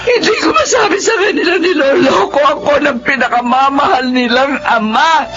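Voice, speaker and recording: An actress playing an anguished mother of teenagers who was abandoned by her husband, pleading and breaking into tears and shouts, in a radio drama. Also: sits high, moderate pace, muffled recording, loud music behind the voice, close to an everyday voice. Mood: tearful.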